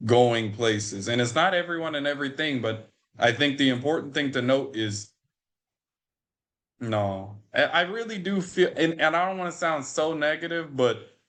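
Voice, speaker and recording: An American man in his twenties, a podcast guest on a video call, telling a story in an animated way that repeats and restarts phrases. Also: medium pitch, moderate pace. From United States. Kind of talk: storytelling in a remote interview.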